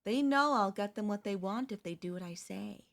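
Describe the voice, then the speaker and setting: A woman's voice: mid-range voice, moderate pace, vocal fry, a bit bright. A woman voicing a chatty female zombie who cheerfully and conversationally coaxes in a comedic audio roleplay. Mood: coaxing, reasonable. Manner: conversational, coaxing, wheedling. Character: chatty, cheerfully persuasive female zombie craving human flesh.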